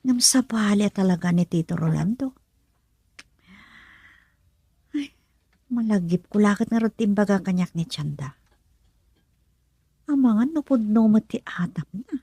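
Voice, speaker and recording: A woman's voice: medium pitch, expressive, normal speed, warm tone, breathy edge, no echo. A Filipino woman acting in a radio drama, playing an outspoken woman protective of her grandchild. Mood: brooding.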